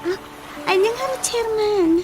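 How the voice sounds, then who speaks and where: Very high, excitable Ilocano village woman. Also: over music. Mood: flattered, surprised. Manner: exclamatory, drawn-out, exaggerated reactions. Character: excitable, giggly village woman flattered by matchmaking. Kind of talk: Ilocano radio drama comedy acting.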